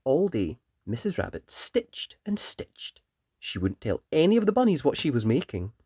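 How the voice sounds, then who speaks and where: A children's story reader and host, narrating a picture book in a gentle, deliberate and expressive way. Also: mid-range voice, slightly rough, no room sound, studio quality.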